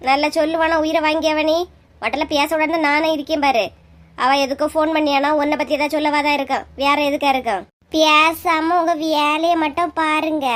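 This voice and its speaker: A woman voicing an excitable, bickering village woman in a comic cartoon, exclaiming and complaining in Kanyakumari Tamil slang. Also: sits high, close to an everyday voice. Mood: irritated.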